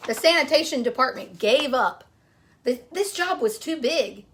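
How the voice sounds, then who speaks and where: A woman reading a children's picture book aloud, expressive, pausing to put questions to young listeners. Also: high voice.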